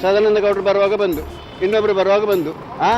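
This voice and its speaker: A man's voice: sits high, steady tone, fairly quick, over music. An indignant, questioning man with a Mangalore accent speaking in a street interview. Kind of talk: street vox pop.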